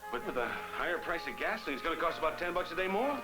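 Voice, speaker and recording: An actor playing a mild, fretful husband, earnest and a little hesitant, in a 1970s educational film. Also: male voice, fairly high pitch, expressive, racing, close to an everyday voice, low-quality recording. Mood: worried. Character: mild, fretful husband worried about expenses. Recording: 1970s film soundtrack with music.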